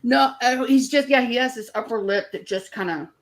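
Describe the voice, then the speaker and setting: An older woman, a grandmother, co-hosting a casual chat and telling animated stories with frequent laughter. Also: on the high side, moderate pace. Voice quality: voice worn from years of teaching. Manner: animated storyteller, laughs often. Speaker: co-host. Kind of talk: casual chat on a streamed art show.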